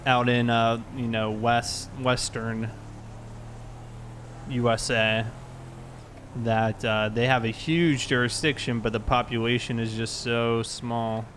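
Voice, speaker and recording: Male streamer, medium-pitched, relaxed gameplay chat over faint car ambience. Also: slow pace, a little hiss or hum. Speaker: livestreamer playing a police officer.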